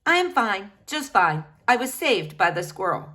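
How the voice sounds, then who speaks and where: A woman's voice: mid-range voice, sing-song, moderate pace, sharp tone. Hapless storybook dad, mild and earnest, hesitant rambling delivery woman reader in role. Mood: mildly dazed. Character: mild, befuddled, hopeful father.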